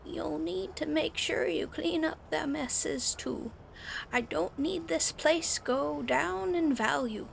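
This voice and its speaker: A woman voicing a fussy female landlord worried about her property, speaking slowly and sternly over a fan hum. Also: high-pitched, creaky, pitched above the performer's own voice, exaggerated voice. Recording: steady fan hum in background.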